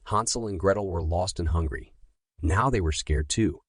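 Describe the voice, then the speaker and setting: A low-voiced man reads a scripted bedtime story for children. His voice has a husky grain, and he tells the tale deliberately and with drama. The sound is close and dry. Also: dry recording.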